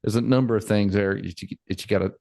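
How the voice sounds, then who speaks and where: A man's voice: fairly low pitch, steady tone, fast, vocal fry, mellow, no room sound. A man in his forties, a financial planner chatting casually on a podcast, repeating words and laughing easily. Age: 40s.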